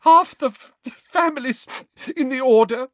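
A man's voice: unhurried, dry recording. A man voicing a distraught middle-aged mother who sobs and stammers through tears, in an audiobook reading. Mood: sobbing. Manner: stuttering, high and strained. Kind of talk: audiobook character voice.

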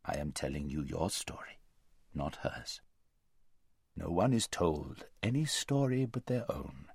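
A man voicing a great male lion in a storybook reading, grave, gentle and commanding, speaking slowly and deliberately with weight. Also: low-pitched, big pitch swings, no echo, studio quality, pitched below the performer's own voice, full character voice. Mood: quiet, firm.

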